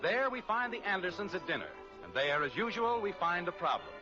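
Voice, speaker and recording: A man's voice: high-pitched, sing-song, brisk, over music. A man announcing in a scripted, playfully grand 1950s radio manner. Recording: muffled old radio recording.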